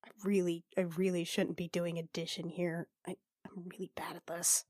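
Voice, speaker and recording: A woman playing a tabletop roleplaying game, talking casually and haltingly, in and out of character. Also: on the low side, little pitch movement, a little mellow, dry recording, clean studio sound.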